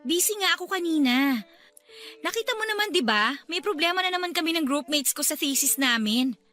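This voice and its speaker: A woman's voice: high voice, animated, fairly quick, bright, forceful tone, soft background music. A woman playing a stressed, irritable young medical student and older sister in a radio drama, complaining emphatically. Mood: exasperated. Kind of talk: radio drama dialogue in Filipino.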